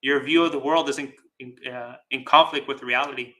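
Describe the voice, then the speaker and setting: A man giving a dharma talk as a Buddhist teacher, speaking deliberately with pauses, repeated phrases and rhetorical check-ins. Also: mid-range voice, fairly even intonation, sharp tone. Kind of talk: dharma talk with live chat questions.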